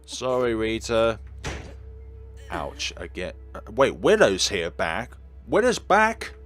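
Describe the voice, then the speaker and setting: A man's voice: on the low side, huge pitch swings, takes it slowly, a bit bright. A man reading a visual novel aloud as a let's player, dropping in wry, casual commentary. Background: game music under the voice.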